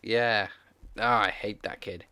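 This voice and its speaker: A man co-hosting a casual podcast, chatty and quick to laugh, retelling film scenes with put-on voices. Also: fairly low pitch, steady tone, sharp tone, no room sound. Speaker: podcast co-host.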